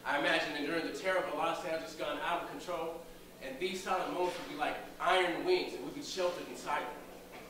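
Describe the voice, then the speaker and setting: A man's voice: on the high side, pitched above the performer's own voice, lightly acted. Guy acting out a heartsick man doing an intense poetic monologue, echoey noisy room, mic far away. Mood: yearning. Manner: earnest, lyrical, intense. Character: lovelorn Los Angeles man haunted by a vanished woman. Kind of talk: dramatic stage monologue.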